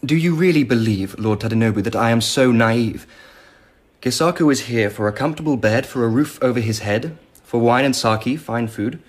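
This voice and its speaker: An actor playing a genial, indulgent but shrewd Emperor in a radio drama, urbane and teasing in manner. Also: male voice, mid-range voice, normal speed, close to an everyday voice. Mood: challenging, unruffled.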